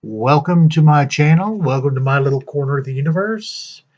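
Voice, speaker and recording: A man hosting his own channel, giving casual news commentary in a slow, deliberate way with fillers and long pauses. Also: on the high side, expressive, a little mellow, muffled recording. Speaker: vlog host.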